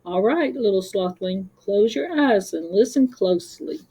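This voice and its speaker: Lady doing a wise daddy sloth for bedtime stories, soft n mellow, relaxed. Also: medium pitch, breathy edge, rough recording. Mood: soothing. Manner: gentle murmur, unhurried. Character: wise, relaxed father sloth, gentle storyteller.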